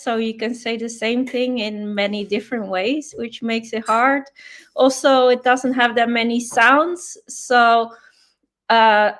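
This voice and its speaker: A woman whose first language is Dutch, a guest in a casual interview, explaining things patiently with many fillers. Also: on the high side.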